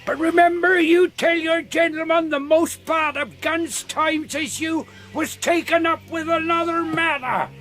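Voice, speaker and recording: An actor playing a half-crazed marooned old sailor in a radio drama, rambling and repeating himself. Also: male voice, very high voice, fairly even intonation, unhurried, hardly any pauses, fairly bright tone, soft background music. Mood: wary, insistent.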